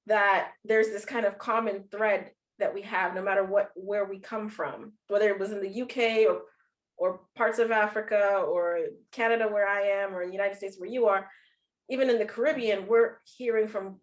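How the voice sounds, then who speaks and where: A woman host leading a conversational interview in a reflective manner. She lays out her questions at length and keeps things moving with steady, supportive affirmations. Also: medium pitch, low-quality recording. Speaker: podcast host.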